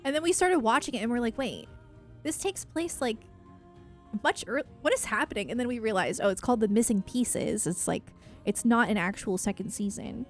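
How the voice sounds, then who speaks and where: A woman's voice: a little high, lively intonation, moderate pace, faint music behind. A woman chatting casually on a livestream, rambling and effusive, with a self-deprecating way of talking as she answers her viewers.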